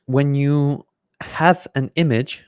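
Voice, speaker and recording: A man in his thirties whose first language is Hungarian, hosting a live Q&A and explaining software step by step from a desk microphone in a small room. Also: mid-range voice, steady tone, takes it slowly, clear, resonant tone, no room sound, studio quality. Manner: explains step by step while clicking through software. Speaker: host answering viewer questions. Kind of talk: live Q&A with screen-share demonstration. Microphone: desk microphone in front of face.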